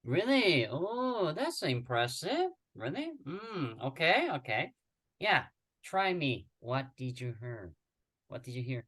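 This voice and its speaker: Slow-spoken, encouraging woman tutor. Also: low-pitched, very animated, fairly bright tone. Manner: encouraging, coaxing, repeats herself. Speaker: Korean language tutor. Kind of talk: online tutoring lesson.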